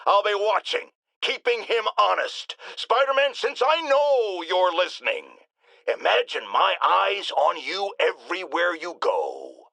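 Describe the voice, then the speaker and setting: Blustering, sarcastic male radio host for a game: middle-aged, outraged, high and animated, theatrical monologue delivery, dry studio sound. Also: gravelly, no room sound, full character voice. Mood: stern, flustered. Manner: pompous, sarcastic, emphatic, theatrical.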